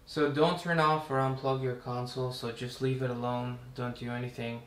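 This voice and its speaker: A young man hosting a casual, relaxed tutorial, chatting as he works through steps in a living room. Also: medium pitch, moderate pace. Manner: relaxed, chatty, talks while working. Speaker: tutorial host. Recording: roomy home recording with faint hum.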